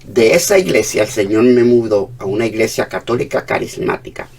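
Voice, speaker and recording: Cuban-accented male pastor, fervent sermon delivery, husky low voice recorded from a distance. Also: lively intonation, brisk. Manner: fervent preaching, shifts between hushed and emphatic.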